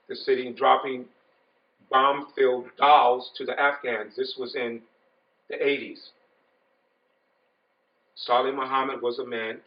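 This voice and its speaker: A middle-aged man giving an earnest, repetitive informal talk to an audience of young people, growing emphatic. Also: mid-range voice. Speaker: speaker addressing young Muslims. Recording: echoey room, distant mic, dull sound.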